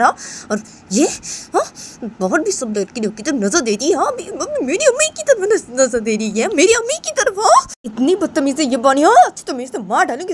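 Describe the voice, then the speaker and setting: A shrill, enraged young daughter-in-law, put on by a woman telling a homemade story. She screams threats high and loud with a jumpy pitch. A faint hiss sits behind the voice.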